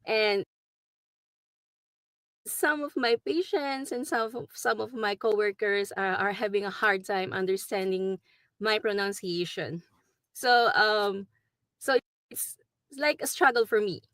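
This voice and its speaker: Filipino-accented woman, forties, halting, lots of fillers, dry close sound. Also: medium pitch, a bit bright, no echo. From Philippines. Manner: hesitant, many ums and likes. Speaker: travel nurse. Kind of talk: recorded video message.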